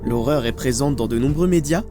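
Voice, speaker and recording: A man's voice: mid-range voice, a little fast, over music. A man giving scripted storytelling narration, articulate and dramatic, putting questions to the audience. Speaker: narrator of a video documentary series.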